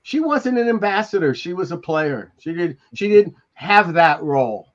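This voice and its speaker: An older man in a casual podcast discussion, speaking haltingly and repeating words. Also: sits high, sing-song. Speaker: podcast panelist. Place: room at home, indoors. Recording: slightly dull video-call audio.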